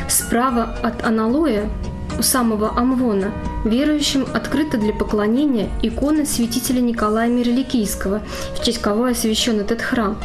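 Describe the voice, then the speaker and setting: High-voiced female narrator over music. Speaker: TV program narrator. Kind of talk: scripted documentary narration.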